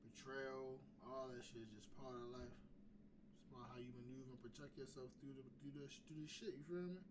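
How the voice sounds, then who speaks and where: A man's voice: medium pitch, fairly even intonation, a little fast, recorded from a distance. A man hosting a reaction show with casual, slangy, opinionated commentary that often circles back on itself. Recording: clearly noisy background.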